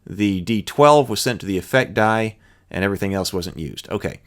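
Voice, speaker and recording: A man's voice: lowish voice, moderate pace. A man hosting a tabletop roleplaying lesson, reading rules aloud step by step with informal thinking-aloud asides.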